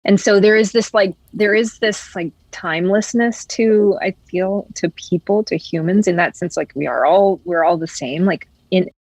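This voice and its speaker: A woman's voice: medium pitch, moderate pace, few pauses, darkish tone, no echo, rough recording. A young woman from West Virginia, raised in Florida, talking reflectively as a podcast guest. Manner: reflective, many "like" fillers. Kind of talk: casual conversation.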